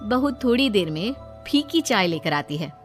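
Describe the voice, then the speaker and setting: A woman's voice: medium pitch, very animated, moderate pace, faint music behind. A woman narrating a family cartoon story in a plain storytelling manner.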